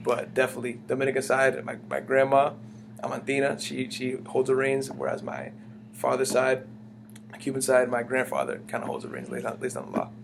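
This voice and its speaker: A man's voice: medium pitch, brisk, creaky, background noise. A young man from the United States, answering interview questions in a casual, rambling way, with many restarts and "like" fillers. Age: young adult.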